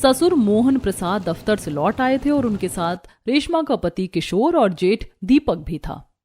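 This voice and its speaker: A woman's voice: medium pitch, sing-song, unhurried, darkish tone, slight background noise. A woman narrating a scripted cartoon story.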